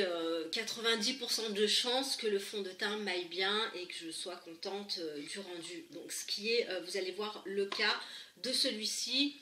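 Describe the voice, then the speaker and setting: A woman with a stuffy head cold talks casually through her makeup routine, pausing often with fillers and restarts. Her voice is bright and clear, caught by a camera set a bit away in an echoey room with faint room noise. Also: medium pitch, recorded from a distance. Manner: hesitant, many fillers and restarts. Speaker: beauty vlogger.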